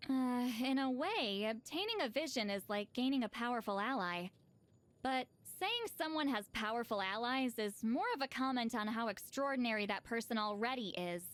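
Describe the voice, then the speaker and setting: High, animated, flustered shaman girl, woman acting. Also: moderate pace, natural voice. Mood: musing. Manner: flustered, hesitant, protesting, slightly huffy. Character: awkward, proud old-timer shaman woman with youthful voice. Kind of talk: animated game character voice lines.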